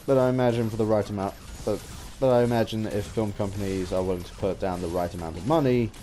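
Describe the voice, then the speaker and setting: A man giving an unscripted opinion ramble as a gaming vlogger, halting and often restarting his phrases. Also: lowish voice, mellow, a little hiss or hum.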